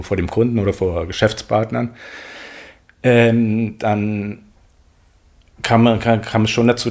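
A man in his forties from Venezuela, a native Spanish speaker, answering interview questions in a halting way, often restarting as he searches for words. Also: on the low side, vocal fry, a little mellow.

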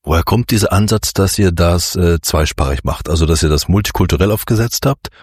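Very deep-voiced man hosting a radio feature. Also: fairly quick, darkish tone, breathy edge, no room sound, clean studio sound. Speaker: radio host and interviewer. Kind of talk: scripted narration and interviewing for a radio series.

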